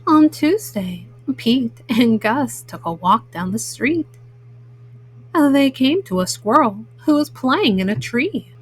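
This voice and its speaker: A woman reading children's bedtime stories aloud as a storyteller, in a gentle, motherly way. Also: fairly high pitch, very animated, takes it slowly, slightly rough, poor audio.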